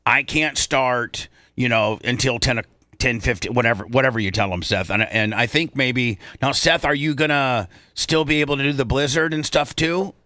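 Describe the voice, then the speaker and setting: A man's voice: medium pitch, moderate pace, pushed and bright, no room sound, studio quality. A man hosting a radio show in casual banter, rambling and repeating phrases for emphasis.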